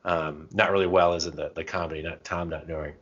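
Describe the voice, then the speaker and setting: Muffled, lo-fi audio carries a very low-pitched man's voice. He talks casually as a podcast co-host, explaining things through examples in a loose, conversational way. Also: steady tone, quick pace, a bit bright, a little hiss or hum. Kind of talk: casual conversational advice and anecdotes. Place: podcast recording. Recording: dull, muffled lo-fi sound.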